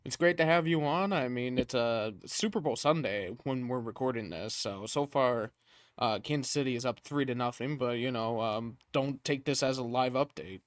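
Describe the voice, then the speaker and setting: A man's voice: medium pitch, normal speed, no echo. A man in his twenties hosting a podcast, chatting casually and joking with frequent laughs. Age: 20s. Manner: jokey, laughs often. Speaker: podcast host.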